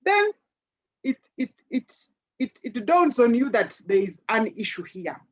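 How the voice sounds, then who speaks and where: A woman's voice: fairly high pitch, very animated, unhurried. A woman from Uganda speaking earnestly as a webinar panelist, often repeating words as she searches for what to say next. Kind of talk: webinar discussion, personal account. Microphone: video call. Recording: dull lo-fi video call audio.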